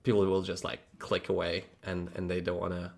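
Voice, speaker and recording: A man from Poland speaks English with a Polish accent in a fairly low voice. He chats casually with his livestream audience, rambling, restarting words and laughing often. His talk is frank and loose, with some mild swearing. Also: monotone, brisk, vocal fry, a little mellow. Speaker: livestream host.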